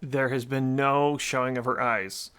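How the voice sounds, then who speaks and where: A man's voice: medium pitch, level tone, a little slow, bright, forceful tone, clean tone. A man giving casual reaction commentary as a video host, hedging his opinions as he goes. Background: music.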